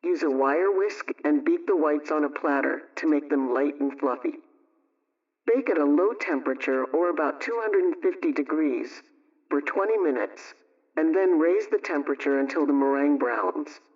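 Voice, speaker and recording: A man reading scripted household advice and recipes in a measured, instructional way, like a 1920s radio programme host. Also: on the high side. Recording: dull, distant vintage radio sound.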